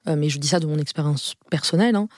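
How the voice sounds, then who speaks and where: A woman's voice: low voice, rapid-fire, a little mellow, dry recording, studio quality. A woman, a lawyer answering podcast interview questions in an earnest, frank way, often hesitating and repeating herself. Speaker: lawyer and law firm partner.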